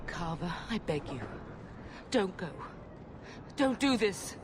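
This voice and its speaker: A woman's voice: mid-range voice, animated, slow pace, noisy background. An actress playing an anxious, loving older mother in a video game, pleading earnestly. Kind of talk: video game cutscene acting. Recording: loud music behind dialogue.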